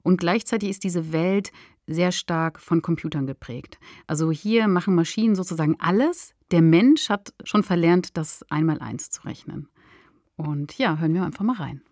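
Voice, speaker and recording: A woman's voice: fairly low pitch, normal speed, a little mellow, no room sound, studio quality. A woman co-hosting a podcast, explaining in a conversational way. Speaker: podcast co-host. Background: music.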